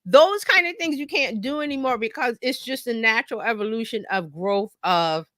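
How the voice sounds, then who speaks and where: A woman teaching informally on a live stream as a marketing coach, conversational and animated, often acting out imagined remarks and checking in with listeners. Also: a little high, moderate pace, dry recording, studio quality.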